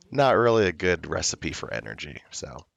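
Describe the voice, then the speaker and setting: A young man streaming a game, with a hoarse voice from illness, chatting slowly and loosely. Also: deep voice, big pitch swings, no room sound. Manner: slow, rambling, laid-back talk. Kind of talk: casual livestream chat.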